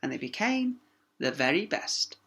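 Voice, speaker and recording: Bright, animated man reading a children's story. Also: fairly high pitch, measured pace. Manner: animated, with asides to young listeners. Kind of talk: expressive children's story narration.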